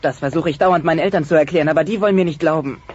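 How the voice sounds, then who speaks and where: A man's voice: on the high side, rapid-fire, natural voice. Man playing a grumbling teenage dinosaur son. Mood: earnest complaining. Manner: grumbling, exasperated. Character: sulky teenage dinosaur son, exasperated schoolboy. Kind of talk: German-dubbed comedy radio play acting. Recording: dull, noisy old cassette transfer.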